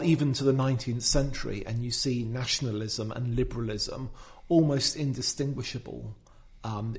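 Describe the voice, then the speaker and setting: Male commentator, mid pitch, hesitant, thinking-aloud conversational delivery. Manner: thoughtful, hesitant, many fillers and restarts. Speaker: podcast guest, writer. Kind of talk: casual discussion.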